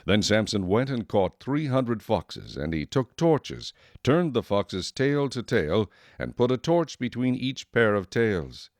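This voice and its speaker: A man's voice: medium pitch, big pitch swings, slow pace, few pauses, dry recording. A man narrating scripture as a scripted audio drama narrator.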